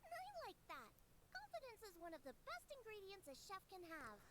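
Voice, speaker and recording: A woman's voice: very high-pitched, wildly swooping pitch, a little fast, sharp tone, full character voice. A woman voicing an eager, confident teenage girl chef in a video game, bubbly and enthusiastic. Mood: delighted.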